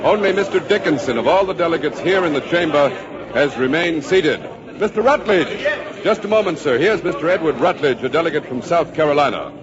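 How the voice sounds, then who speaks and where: An actor playing an urgent American news correspondent in an old-time radio drama, giving formal, clipped floor commentary. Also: male voice, on the high side, animated, fairly quick, natural voice. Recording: muffled vintage radio, loud crowd behind.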